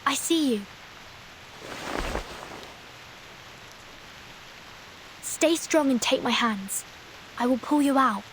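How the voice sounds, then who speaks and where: A woman voicing a calm, determined young female investigator in a video game, terse and measured. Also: sits high, slight background noise, close to an everyday voice, low-quality recording. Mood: urgent.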